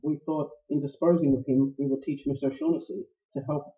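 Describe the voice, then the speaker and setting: Man acting as Gutman, a high-pitched, pompous crime boss. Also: lightly acted. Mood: smooth menace. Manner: pompous, florid, deliberate politeness. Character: suave, courtly, ruthless older criminal mastermind. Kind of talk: staged radio play acting, 1940s noir. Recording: roomy, dull live stage recording.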